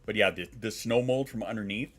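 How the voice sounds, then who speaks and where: A man's voice: on the low side, brisk, fairly bright tone. A man in his thirties, congested and sniffling, chatting casually on a live stream, rambling, swearing and reacting to chat. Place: home.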